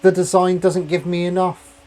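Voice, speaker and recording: A man voicing Goldilocks, a picky young woman property developer, in a fussy, complaining manner and a raised pitch. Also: level tone, normal speed, warm tone, close to an everyday voice.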